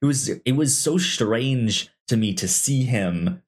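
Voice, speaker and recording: A man's voice: mid-range voice, expressive. Guy chatting on a film podcast, stammers a lot, voice swings up and down. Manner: halting, stammering delivery. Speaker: podcast co-host. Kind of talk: casual film discussion chat.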